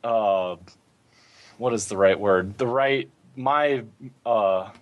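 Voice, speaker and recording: A man's voice: fairly low pitch, slow pace, narrow, tinny audio. A man hosting a podcast, chatting casually about gaming in an informal way, thinking aloud as he goes. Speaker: podcast host.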